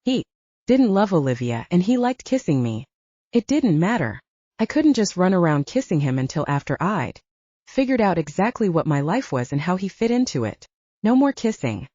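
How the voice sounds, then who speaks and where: A woman reads first-person fiction with a lively, swooping pitch. Her tone is soft-edged and mellow. The recording is very dry and a little dull. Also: moderate pace, no echo. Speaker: audiobook narrator.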